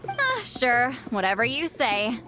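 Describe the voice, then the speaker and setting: Irritable female shaman, youthful, high, swooping intonation, boastful, easily flustered, video game character, faint music. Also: huge pitch swings, slow pace, heavily acted. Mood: dismissive. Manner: snappy, boastful.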